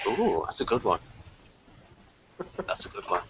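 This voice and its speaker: A man's voice: lowish voice, huge pitch swings, a little slow, fairly bright tone, slight background noise. A man co-hosting a review show, chatting casually and reading out episode summaries over a lo-fi online call. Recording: dull, lo-fi online call audio.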